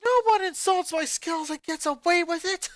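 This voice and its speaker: A man reading a fanfic aloud, voicing a cocky sporty girl who shouts and mocks. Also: extremely high pitch, normal speed, no echo, voice pushed up. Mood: taunting. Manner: shouting, mocking. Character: brash tough sporty girl, a jock.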